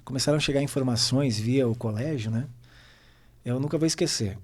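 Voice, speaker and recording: A middle-aged man, chatting as a podcast guest. He tells long stories in a relaxed, unhurried way and keeps checking in with his listeners through little tag questions. His voice sits at an ordinary male pitch. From western Santa Catarina, Brazil. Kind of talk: casual podcast conversation.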